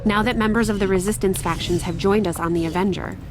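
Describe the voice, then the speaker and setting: A woman playing a helpful, organised crew officer in a video game, brisk and businesslike. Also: mid-range voice, steady tone, natural voice. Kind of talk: scripted video game acting. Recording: loud music behind the voice.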